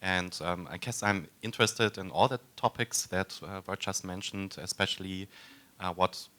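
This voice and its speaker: A man introducing himself on a panel in a relaxed, hesitant and slightly wry way. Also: low-pitched, moderate pace. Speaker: panelist, researcher.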